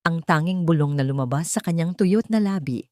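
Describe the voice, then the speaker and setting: A woman reads a melodramatic story in a fairly low voice. Her delivery is solemn and scripted, and the sound is dry and close, with little room around it. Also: brisk, a little mellow, no room sound. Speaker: storyteller narrator. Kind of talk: dramatic scripted narration of a romance story.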